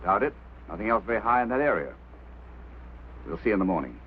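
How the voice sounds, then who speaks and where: Brusque boss, tinny old film sound. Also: male voice, fairly low pitch, sing-song, quick pace, slight background noise. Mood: curt. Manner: commanding, dismissive. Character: brusque, impatient, skeptical mining company boss. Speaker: actor. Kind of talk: mid-century screen drama acting.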